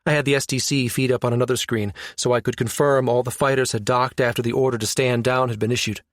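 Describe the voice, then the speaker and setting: A man voicing a brisk, sardonic, exasperated woman space operative with informal, slangy speech in a science fiction audiobook. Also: medium pitch, little pitch movement, no room sound, studio quality, lightly acted. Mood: explaining.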